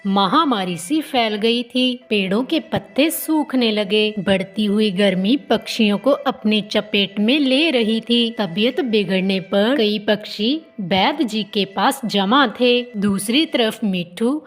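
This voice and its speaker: A woman's voice: mid-range voice, slow pace, few pauses, faint music behind. A woman narrating a scripted children's story.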